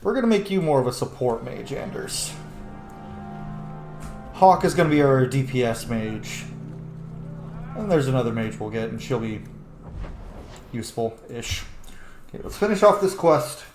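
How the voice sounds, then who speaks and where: A man's voice: mid-range voice, sing-song, normal speed, pauses often, darkish tone, echoey room, soft background music. A man giving casual, sweary and self-mocking commentary as he hosts a gaming video.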